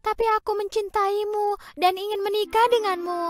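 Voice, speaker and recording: A woman's voice: extremely high pitch, little pitch movement, moderate pace, soft background music, pitched above the performer's own voice. A woman voicing a kind, tender young woman in a cartoon fairy tale, speaking in an emotional, tearful way.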